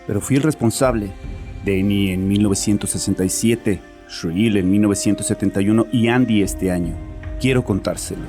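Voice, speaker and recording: A man's voice: fairly low pitch, normal speed, mellow, lightly acted. An actor playing a bitter, anguished man in his late twenties confessing to a therapist in an audio drama, defensive and scornful. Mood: grim, insistent. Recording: music under the voice.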